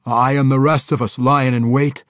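A fierce dwarf king performed by a man reading a fantasy audiobook speaks forcefully in rustic dialect. The delivery is raised and loud, grim and hungry for a fight, recorded dry. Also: mid-range voice, little pitch movement, normal speed, dry recording, pitched above the performer's own voice, natural voice. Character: fierce, bloodthirsty dwarf kings, stout and grim.

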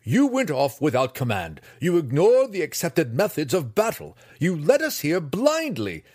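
A man voicing a snarling dark elf weapons master. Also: medium pitch, wildly swooping pitch, slightly rough, pitched above the performer's own voice, full character voice. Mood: raging. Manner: snarling, shouting, drawn-out words. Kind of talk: audiobook character voice.

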